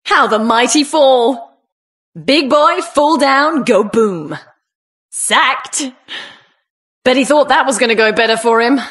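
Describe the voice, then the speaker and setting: A woman's voice: high-pitched, slow, pauses often, fairly bright tone. A woman with a British accent playing a plucky, cheeky builder hero in a video game. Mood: smug, satisfied. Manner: cheeky, plucky, punchy short exclamations.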